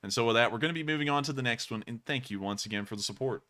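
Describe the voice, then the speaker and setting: A young man co-hosting a live stream, talking in a highly fluctuating, high-pitched voice. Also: very fast. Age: young adult. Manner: animated, high-pitched, swooping delivery.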